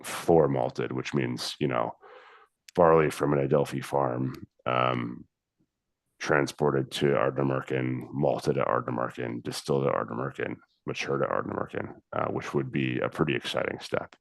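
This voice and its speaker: An American man talking casually on a video call about his distillery, relaxed and halting with many fillers. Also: extremely low pitch, vocal fry, no echo. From United States. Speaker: distillery representative.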